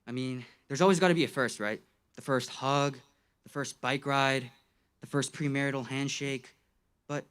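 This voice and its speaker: A teenage male student delivers a graduation address at an ordinary middle pitch. He is playful and tongue-in-cheek, mixing earnest, emphatic phrasing with youth slang and deadpan asides. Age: late teens. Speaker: graduating student giving a class speech.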